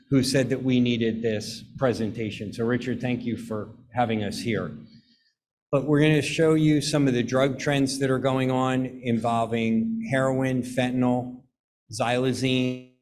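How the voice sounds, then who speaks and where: A man from a prosecutor's office giving an earnest, somewhat halting introduction at a public meeting. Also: mid-range voice, noisy background. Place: meeting room.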